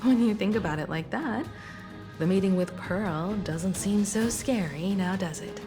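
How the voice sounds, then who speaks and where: An actress voicing a composed, mature woman mentor in a video game, calm and measured. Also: mid-range voice, lightly acted. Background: game soundtrack music.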